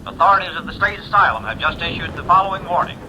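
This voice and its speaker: An actor playing a solemn, urgent radio news announcer with formal, emphatic delivery, in a mid-century bulletin style. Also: male voice, a little high, expressive, quick pace, highs cut off, lightly acted. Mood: urgent, grave. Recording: vintage radio bulletin with faint noise.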